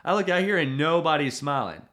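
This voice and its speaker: A man's voice: fairly high pitch, expressive, brisk, fairly bright tone. A man, a preacher hosting his podcast, chatting and telling a self-deprecating story with lots of fillers. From Tennessee. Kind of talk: casual podcast chat and storytelling.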